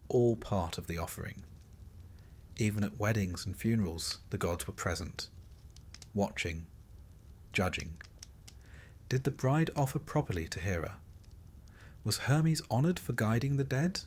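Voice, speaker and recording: Low-voiced man narrating a sleep story. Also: slight background noise. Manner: measured, deliberate phrasing with short pauses. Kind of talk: calm scripted storytelling narration.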